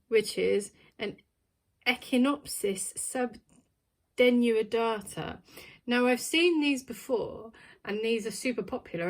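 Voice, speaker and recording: A woman chatting casually while unboxing a plant subscription box, thinking aloud with plenty of ums. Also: fairly high pitch. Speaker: plant vlogger.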